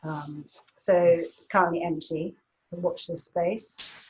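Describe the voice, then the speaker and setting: A woman's voice: lowish voice, steady tone, takes it slowly, a little mellow, low-quality recording. A woman in her fifties, an art historian, gives a chatty illustrated talk over slides, with many ums and light laughs.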